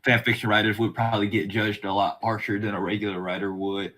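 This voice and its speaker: A man's voice: on the low side, little pitch movement, fast talker, pushed and bright. A man hosting a casual podcast interview, speaking in a rambling, hesitant way with lots of filler phrases as he frames his questions. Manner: hesitant, rambling phrasing with many "like" and "you know". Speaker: podcast host.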